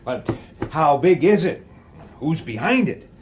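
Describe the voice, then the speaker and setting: A blunt, animated police detective, noisy sound. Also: male voice, fairly high pitch, a little slow. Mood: weary. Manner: blunt, colloquial, urgent. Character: gruff, wary male police detective and father. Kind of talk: radio drama acting. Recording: noisy old radio drama soundtrack.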